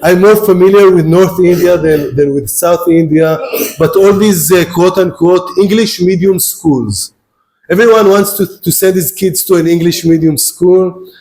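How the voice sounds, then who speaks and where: A man's voice: high voice, measured pace, a little mellow, poor audio. Israeli-accented man giving a lecture. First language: Hebrew. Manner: repeats and restarts words often, addresses the audience directly. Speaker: guest lecturer. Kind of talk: university philosophy lecture. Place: lecture hall.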